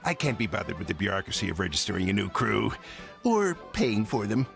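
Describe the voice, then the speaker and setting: A man's voice: fairly low pitch, sing-song, a little fast, gravelly, a bit bright, faint music behind, exaggerated voice. A man voicing a greedy, self-important pirate captain in a video game, with a mock pirate accent and blustering, theatrical manner. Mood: scheming, dismissive.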